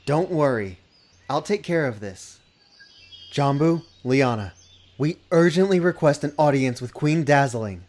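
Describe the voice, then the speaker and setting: A man's voice: on the high side, animated, a little slow, a little mellow. A man playing an earnest, bookish young male dragon in a fantasy dub, hesitant, formal and a little fussy. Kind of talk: dubbed fantasy drama acting.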